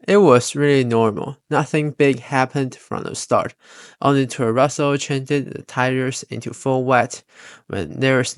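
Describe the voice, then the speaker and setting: A man's voice: mid-range voice, dry recording. Man narrating in a dry, close recording. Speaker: video narrator, fan commentator. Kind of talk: scripted narration.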